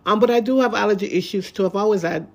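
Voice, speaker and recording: A blogger with a stuffy, congested nose and a nasal sound, reading a post aloud and then explaining it in halting, repetitive speech. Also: mid-range voice, creaky. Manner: halting and repetitive when speaking off the page.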